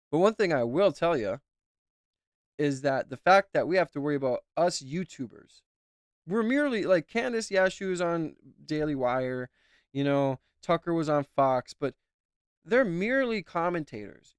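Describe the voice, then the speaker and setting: A man's voice: mid-range voice, clean tone, no echo, studio quality. A man commenting as a YouTuber, talking in a rambling, emphatic way and repeating himself. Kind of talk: casual reaction commentary.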